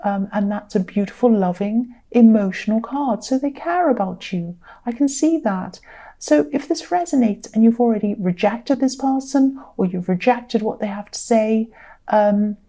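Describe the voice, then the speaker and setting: A tired woman with a slightly croaky voice, giving a conversational tarot reading to viewers in an earnest, gently advising way, repeating phrases for emphasis. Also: a little high, normal speed. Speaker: tarot reader.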